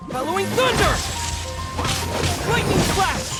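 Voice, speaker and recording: Fierce, high-pitched game fighter. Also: female voice, very animated, slow pace, heavily acted. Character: fierce video game fighter calling out attacks. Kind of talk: shouted game battle cries. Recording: loud music and battle crashes.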